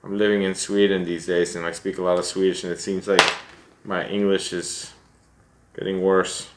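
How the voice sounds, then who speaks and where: A man's voice: low-pitched, little pitch movement, normal speed, heavy background noise. A middle-aged man in a small room, talking through a fly-tying tutorial step by step in a chatty, self-deprecating way and thinking aloud as he works. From United States. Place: small room at home, seated at a desk.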